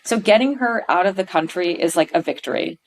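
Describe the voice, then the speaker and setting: A middle-aged woman from Pennsylvania, talking as a guest on a podcast. Her voice sits a little low, and she gushes with animated energy, stumbling over restarts and fillers as she tells her stories. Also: fairly quick, rough recording. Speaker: podcast guest, stage performer. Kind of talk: casual interview chat.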